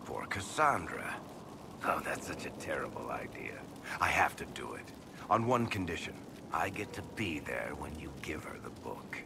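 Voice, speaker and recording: An actor playing a wry, wisecracking rogue writer in a fantasy video game, dry and conversational, with noise behind. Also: male voice, extremely low pitch, very animated, normal speed, creaky, full character voice. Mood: amused, scheming. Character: wry, sardonic roguish male storyteller, grudgingly good-natured. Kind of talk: cinematic game dialogue acting. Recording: background noise and ambience.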